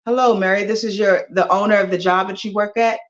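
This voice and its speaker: A woman's voice: mid-range voice, fairly even intonation, brisk, natural voice. A woman voicing a polite male company boss speaking formally on a phone call. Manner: formal, businesslike.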